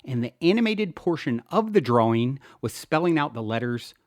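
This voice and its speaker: A man reads his own self-help book aloud as a narrator, with an earnest, expressive delivery. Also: medium pitch, normal speed, no room sound, clean studio sound. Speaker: author and show host reading own book. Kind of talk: audiobook narration, first-person self-help.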